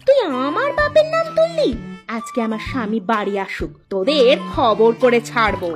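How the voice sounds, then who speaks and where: Woman acting a high-pitched quarrelsome village wife. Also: sing-song, normal speed. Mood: furious, taunting. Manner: scolding, taunting, indignant delivery. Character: quarrelsome, sharp-tongued village wife, quick to take offence. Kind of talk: Bangla cartoon dialogue. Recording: music under the voice.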